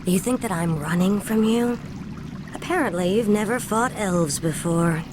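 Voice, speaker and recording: An actress playing a proud, defiant elf ranger general in a fantasy video game, with a stern, commanding delivery. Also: female voice, mid-range voice, normal speed, background noise, lightly acted. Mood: stern defiance. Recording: loud music behind the voice.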